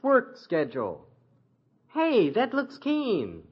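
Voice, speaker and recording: An actor playing an easygoing teenage son in a 1950s radio sitcom, with boyish slang and little taste for work. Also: male voice, very high-pitched, huge pitch swings, slow pace, husky, heavily acted. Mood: eager. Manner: boyish slangy drawl, eager to dodge work. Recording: dull old radio broadcast recording.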